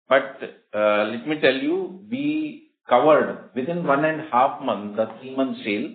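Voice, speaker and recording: A man with an Indian accent, a company executive giving formal, emphatic answers full of figures on an earnings call. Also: mid-range voice. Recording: echoey narrowband phone conference line.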